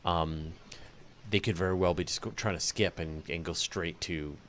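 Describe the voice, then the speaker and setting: Low-voiced man hosting a casual interview. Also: fairly even intonation, normal speed, a bit bright. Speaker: interview host.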